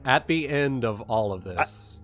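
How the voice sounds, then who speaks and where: A man narrating and explaining a tabletop game as game master, in a halting way with repeated phrases. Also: medium pitch, lively intonation, a bit bright, soft background music.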